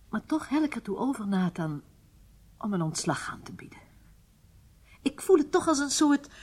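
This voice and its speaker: A loyal intelligence office worker who has lost heart, in a radio play. Her mid-pitched voice rises and falls widely, wistful and emotional. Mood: troubled. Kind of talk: radio drama acting.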